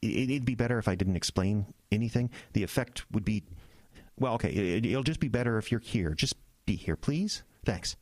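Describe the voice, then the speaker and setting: A man acting an obsessed, evasive husband in an audio drama, speaking in a halting, distracted, earnest way. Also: on the low side, lively intonation, darkish tone, no room sound. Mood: distracted, nervous. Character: obsessed, evasive, frazzled husband on a secret mission.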